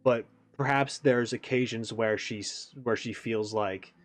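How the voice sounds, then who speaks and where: A man giving a casual, animated reaction commentary, thinking aloud as he analyzes like a game fan. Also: medium pitch, a little slow.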